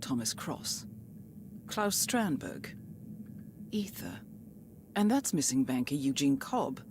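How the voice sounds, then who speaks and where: A low, very expressive female voice for a shrewd intelligence handler in a game cutscene. She is measured and authoritative, directing an operation with composure. There is clear noise behind her. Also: heavily acted. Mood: intent, analytical. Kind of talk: dramatic game cutscene acting.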